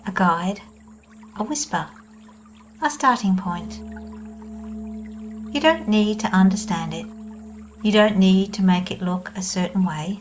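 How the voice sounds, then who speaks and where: Female meditation guide: calm, soothing, very slow delivery, faint music bed. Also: mid-range voice. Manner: soft, slow and soothing, with long pauses.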